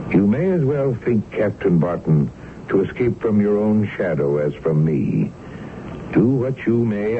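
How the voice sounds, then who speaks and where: Deep-voiced, learned Victorian doctor. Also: male voice, full character voice. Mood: intrigued, measured. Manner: formal, deliberate, learned phrasing. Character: brilliant, composed Victorian doctor investigating the supernatural. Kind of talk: old-time radio mystery drama acting and narration. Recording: muffled radio recording with strong noise.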